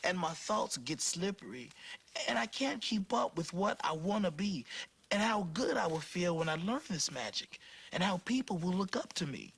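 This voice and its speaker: A man narrating a storybook aloud in an expressive, dramatic way with varied phrasing. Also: sits high, moderate pace. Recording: clear music playing behind the voice.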